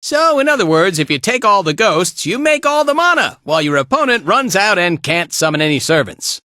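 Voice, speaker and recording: A man's voice: sits high, big pitch swings, brisk, sharp tone, no echo, studio quality, full character voice. A man playing a spirited, laid-back fighter and guide in a video game, with a breezy, colloquial way of talking. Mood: easygoing, instructive. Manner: breezy, colloquial, tagging lines with casual check-ins. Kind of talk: animated video game voice acting.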